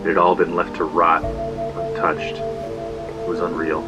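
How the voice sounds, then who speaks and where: An actor playing a young slacker who narrates a grim trespassing tale in a casual, profane, matter-of-fact way. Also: male voice, sits low, completely flat delivery, slow pace. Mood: brooding, hushed. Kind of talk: first-person audio drama narration. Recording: music bed behind the voice.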